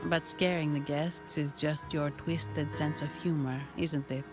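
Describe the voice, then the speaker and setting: An actress playing a composed, courteous guide in a video game, polite but frank. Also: female voice, sits low, fairly even intonation, measured pace, music in the background. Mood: dry, polite.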